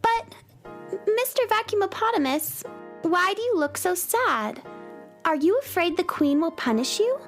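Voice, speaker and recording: A plucky, earnest young space cowgirl acted by a woman in a children's radio play. Her very high voice moves expressively, kind and polite, with music playing behind. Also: very animated, a little slow, heavily acted. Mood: concerned. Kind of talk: children's audio drama acting.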